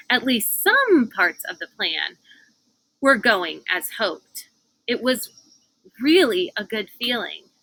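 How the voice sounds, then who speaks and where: A woman's voice: mid-range voice, sharp tone, poor audio. A woman reading a children's book aloud outdoors on a porch, adding casual asides as she goes. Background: cicadas and air conditioner hum.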